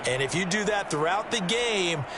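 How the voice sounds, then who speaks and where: A man's voice: sits high, animated, normal speed, background noise. A man playing an American football color analyst in a video game, explaining plays in a chatty, opinionated, conversational way. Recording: loud music behind the voice.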